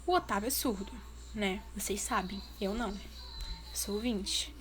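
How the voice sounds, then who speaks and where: A woman's voice: medium pitch, moderate pace, fairly bright tone, soft background music. A woman with a Brazilian Portuguese accent, talking straight to her viewers in a casual vlog and pressing her points with repeated questions. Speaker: vlogger voicing her deaf partner's signing.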